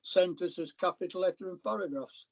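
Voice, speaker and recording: An older British man talking in a slightly high voice. He tells his stories plainly, with a dry wit, as a podcast guest. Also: moderate pace, vocal fry, highs cut off. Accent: British English. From Britain. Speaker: guest, falconer and author. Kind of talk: casual interview talk.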